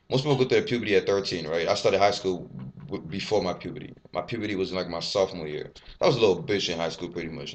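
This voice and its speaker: A man's voice: sits low, slight background noise. A man in his twenties, born in Ivory Coast with French as his first language, talking candidly to a webcam in a small room, rambling and swearing freely. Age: 20s. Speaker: fitness vlogger. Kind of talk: casual personal vlog to camera. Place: small bare indoor room. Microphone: webcam at desk.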